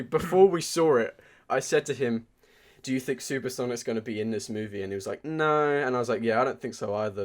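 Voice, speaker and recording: Casual, sweary male podcast co-host. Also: mid-range voice. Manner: profane, excitable fan talk. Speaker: podcast co-host reviewing films. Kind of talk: casual chat.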